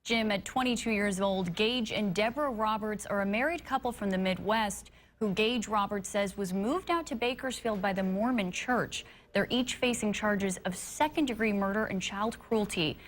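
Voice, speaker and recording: An American woman works as a television news reporter, reading a scripted story in a mid-pitched voice. There is faint noise behind her. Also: normal speed. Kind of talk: scripted broadcast news narration.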